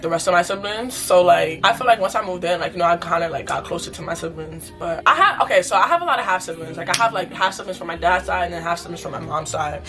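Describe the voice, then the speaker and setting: Young New woman vlogger, rapid candid chatter, clear music bed underneath. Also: fairly low pitch, a bit bright. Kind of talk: casual Q&A monologue. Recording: music playing clearly behind.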